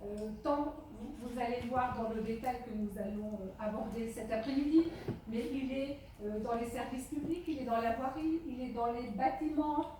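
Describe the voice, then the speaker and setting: A woman giving an opening speech at a public meeting as its chair, speaking slowly and haltingly with many pauses. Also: fairly high pitch. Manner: deliberately slow, halting delivery with many pauses. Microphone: handheld portable microphone. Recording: echoey hall, distant microphone, faint noise.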